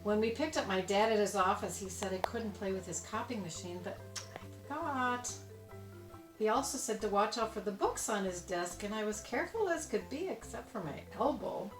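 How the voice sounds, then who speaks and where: A woman reading a children's storybook aloud expressively, with dramatic emphasis and deliberate pauses on key words. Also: medium pitch, animated. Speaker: storybook reader. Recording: echoey room, distant mic, music behind.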